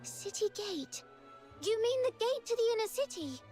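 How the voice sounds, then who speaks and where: A woman's voice: very high voice, very animated, normal speed, mellow. A woman voicing an anxious, earnest young schoolgirl in a video game, with a girlish voice. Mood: curious. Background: background music.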